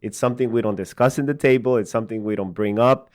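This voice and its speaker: A man hosts a conversational interview at a medium pitch. He is informal, framing his questions loosely and checking in as he goes. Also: steady tone, fast, darkish tone. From El Salvador. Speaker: podcast host, interviewer.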